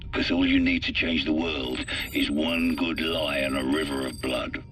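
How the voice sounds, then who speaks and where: Weary game soldier, super low voice, solemn slow monologue, bit off the mic w music under it. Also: male voice, sharp tone, distant mic, heavily acted. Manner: solemn, deliberate, brooding. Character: grim, weary male soldier vowing to expose a liar. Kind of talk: dramatic video game monologue.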